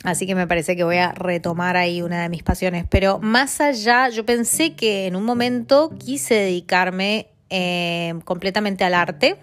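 A woman's voice: on the low side, steady tone, measured pace, few pauses. A woman from Mendoza, Argentina, in her thirties, hosting a casual podcast monologue with an Argentine accent, chatting with lots of fillers and tag questions. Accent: Argentine Spanish. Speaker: podcast host.

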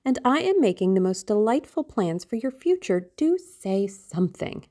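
A woman's voice: mid-range voice, very animated, moderate pace, warm tone, voice pushed up. A woman voicing a dreamy, impulsive teenage girl in love, theatrical and exclamatory, in an audiobook. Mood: playful. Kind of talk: audiobook character acting.

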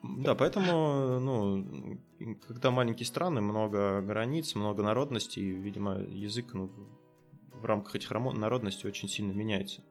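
A man's voice: on the low side, moderate pace, faint music behind. A man with a southern Russian accent from the Kursk region, interviewing casually and asking hesitant questions full of fillers. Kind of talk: casual conversation.

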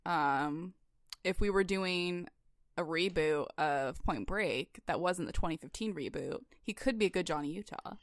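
A woman's voice: lowish voice, a little slow, dry recording, studio quality. A woman co-hosting a podcast, chatting playfully and laughing often. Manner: giggly, playful. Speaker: podcast co-host. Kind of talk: casual chat.

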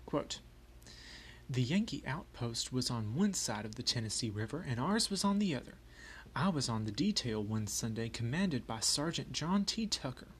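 A man's voice: mid-range voice, very animated, moderate pace, a little hiss or hum, natural voice. A man voicing a folksy Confederate private with a Southern US accent, telling war memories as a first-person memoir. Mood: wry reminiscing.

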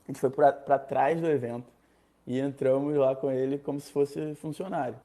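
A young man from Rio de Janeiro with a Carioca accent, casually telling a personal story, animated and slangy, acting out other people's lines. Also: mid-range voice, normal speed. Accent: Carioca Brazilian Portuguese. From Rio de Janeiro, Brazil.

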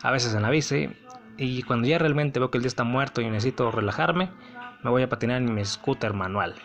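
A man's voice: medium pitch, brisk, highs cut off. A man with a Mexican accent hosting a casual solo podcast, telling a personal story in a rambling, sardonic, blunt way. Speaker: podcast host.

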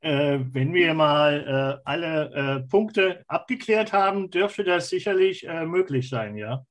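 A male podcast co-host with a slightly high voice. He chats casually but haltingly, breaking his phrases with frequent hesitant pauses. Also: expressive. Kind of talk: casual chat.

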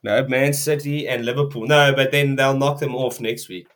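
A man's voice: mid-range voice, fairly even intonation, moderate pace, a bit bright. Casting: cheeky man trading jokes with friends about football. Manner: teasing, swears, laughs often. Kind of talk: casual banter.

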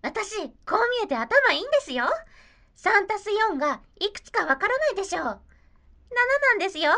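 A woman's voice: very high-pitched, very animated, normal speed, sharp tone, full character voice. A woman playing a cheerful, naive young princess who is proud of her brains, in a comedic audio drama. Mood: eager, proud. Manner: innocent, perky, oblivious.

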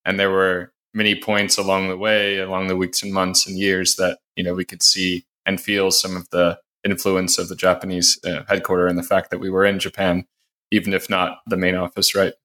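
A man interviewing a guest, asking measured questions and giving frequent short affirmations. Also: low-pitched, completely flat delivery, sharp tone.

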